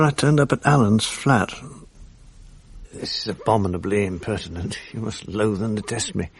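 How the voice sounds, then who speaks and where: An actor playing a guilt-ridden, self-loathing English husband in a radio drama, educated and apologetic, with a gravelly voice. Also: male voice, on the low side, dry recording. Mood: reflective. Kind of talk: radio drama acting, dialogue and first-person narration. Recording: 1980s radio drama with faint music.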